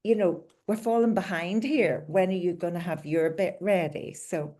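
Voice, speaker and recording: A woman's voice: lowish voice, moderate pace, dark tone. A woman hosting an interview podcast, asking questions and adding long, affirming remarks of her own. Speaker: podcast host.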